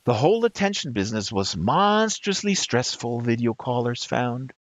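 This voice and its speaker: A man reading a satirical novel aloud with deliberate, emphatic phrasing, in a dull lo-fi recording. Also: mid-range voice, very animated, dry recording. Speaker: reader of literary excerpts.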